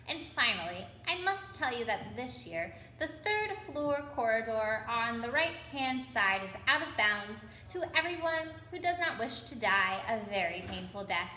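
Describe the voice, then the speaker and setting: A woman's voice: fairly high pitch, bright, forceful tone, echoey room, a little hiss or hum, rough recording. A woman voicing an elderly, kindly, eccentric male headmaster wizard making stately announcements in a children's storybook. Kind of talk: children's storybook character reading.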